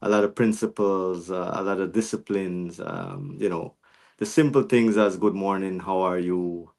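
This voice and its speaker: A man's voice: low-pitched, steady tone, moderate pace, creaky, darkish tone. Guyanese-born man in his forties. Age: 40s. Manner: reflective, many restarts and fillers. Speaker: guest. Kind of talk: casual interview chat.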